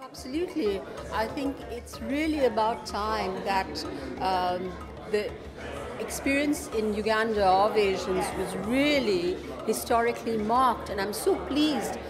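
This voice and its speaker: A woman's voice: medium pitch, expressive, a little slow. A woman visitor giving an informal interview at an event. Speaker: exhibition visitor. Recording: music and crowd chatter behind. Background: music, crowd chatter.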